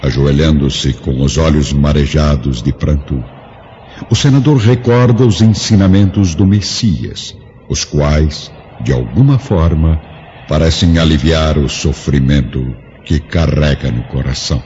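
A man's voice: rumbling low, a little slow, mellow, a little hiss or hum. A man narrating a radio drama in a solemn, literary, dramatic scripted style. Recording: dull lo-fi radio drama, music behind.

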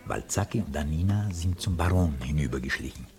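Deep-voiced male narrator. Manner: calm, measured, sometimes hushed. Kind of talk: scripted narration of stage directions.

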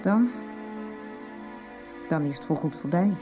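A woman's voice: low-pitched, lively intonation, slow, dull audio, music in the background. An actress playing a troubled middle-aged mother in a radio soap, guarded and ashamed about her drinking. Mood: confiding, ashamed. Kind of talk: 1990s Dutch radio drama acting.

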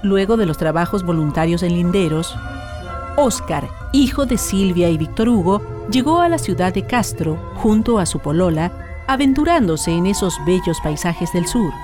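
A woman's voice: fairly low pitch, animated, normal speed, over music. A woman with a Chilean accent presenting and narrating a radio drama from a script.

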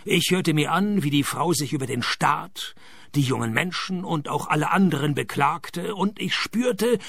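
An actor playing a sardonic, world-weary private detective who narrates his case in a deadpan radio play manner. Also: fairly high pitch, moderate pace, vocal fry, breathy edge, no echo, studio quality, full character voice. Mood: dry narration. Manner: deadpan, sarcastic. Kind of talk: radio play dialogue and first-person narration.

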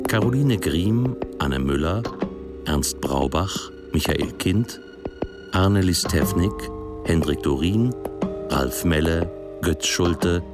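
A male announcer reads radio drama credits in a formal style. His voice is very deep and his pace is slow. Loud music plays behind him. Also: animated.

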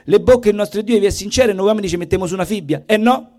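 A man with a Roman accent performing theatrical comic storytelling in an expressive, colloquial, declamatory way. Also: high-pitched, rapid-fire. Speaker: stage performer, monologist and singer. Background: plucked guitar.

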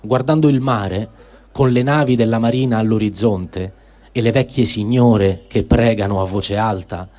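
A man reads a first-person diary in speech, in measured, deliberate phrases, as a dramatic radio reading. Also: fairly low pitch, a little mellow. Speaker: reader of written testimony on radio.

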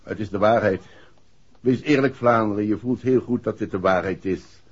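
A man's voice: on the low side, normal speed, slightly rough. An actor playing an indignant, stubborn upper-class father in a 1960s Dutch radio play, speaking curtly and formally. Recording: dull, muffled vintage radio recording.

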